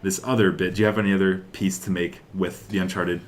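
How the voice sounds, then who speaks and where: Low-voiced young man chatting. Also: flat delivery, fast, a little hiss or hum. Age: young adult. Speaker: podcast co-host. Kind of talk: casual chat about games and films.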